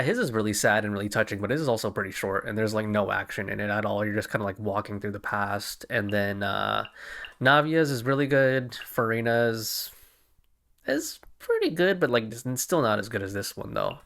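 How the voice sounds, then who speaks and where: A man's voice: on the low side, animated, normal speed, vocal fry, fairly bright tone. A man giving casual let's play commentary as a gaming streamer, rambling and thinking aloud.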